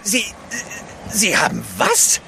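An actor playing a nervous, deferential manservant in an adventure radio play, with jittery politeness. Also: male voice, high voice, huge pitch swings, slow pace, vocal fry, bright, forceful tone, slight background noise, full character voice. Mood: uneasy.

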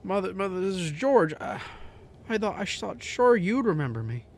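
A man's voice: high voice, very animated, normal speed, heavily acted. An actor in a radio drama playing a distraught everyman husband and son, stammering and pleading urgently. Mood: frantic pleading.

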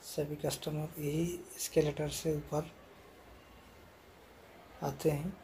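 A man's voice: a little high, completely flat delivery, takes it slowly, gravelly, dark tone, slight background noise. A man giving a casual walking tour of a shopping mall, filming on his phone and repeatedly calling the viewers friends. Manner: repetitive, addresses viewers as friend. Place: outdoors and inside a large shopping mall.

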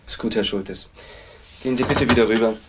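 A man's voice: lowish voice, fairly even intonation, brisk, noisy background, natural voice. An actor playing a dry, firm policeman in a German crime radio drama.

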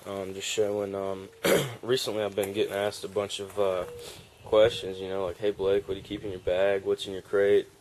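A man's voice: on the low side, flat delivery, normal speed, darkish tone, a little hiss or hum, rough recording. A young man, casually showing his fishing gear in a rambling, laid-back vlog on a porch, recorded on a handheld camera. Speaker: fishing vlogger. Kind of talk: casual show-and-tell vlog. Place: outdoor wooden porch.

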